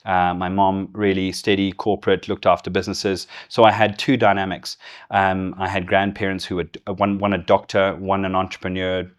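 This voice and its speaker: A middle-aged man from Zimbabwe whose Zimbabwean accent has softened toward English, telling his story candidly as an interview guest. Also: sits low, level tone, normal speed. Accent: Zimbabwean with English influence. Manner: candid, storytelling, laughs easily. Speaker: guest, business executive. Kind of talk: casual interview conversation.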